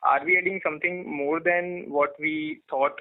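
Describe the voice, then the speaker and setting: A man's voice: a little high, steady tone, normal speed. A man with an Indian accent, an analyst caller, politely putting formal questions on an earnings call. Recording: thin conference phone line.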